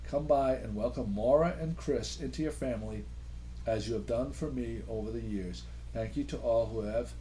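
A man's voice: medium pitch, moderate pace, warm tone, a little hiss or hum, low-quality recording. Easygoing man hosting a local radio chat. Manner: easygoing, joking, trails off with fillers. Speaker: local radio show host. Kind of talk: casual chat.

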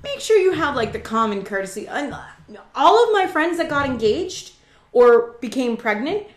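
A woman's voice: sits high, sing-song, moderate pace, reverberant. A woman co-hosting a casual chat, talking candidly and bluntly, swearing at times.

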